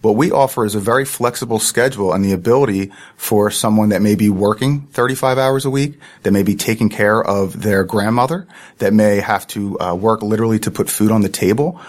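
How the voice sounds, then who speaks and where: A man's voice: fairly low pitch, brisk. A man who is a charter school principal, speaking as an interview guest with eager, earnest advocacy.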